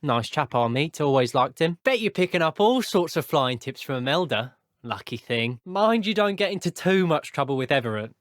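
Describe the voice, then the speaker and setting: A man's voice: a little high, very animated, normal speed, few pauses, no room sound, clean studio sound. A man voicing an earnest, chatty teenage wizard schoolboy in a video game, with a British accent and a polite, wry, old-fashioned turn of phrase. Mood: friendly, teasing. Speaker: voice actor. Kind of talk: scripted video game character voice clips.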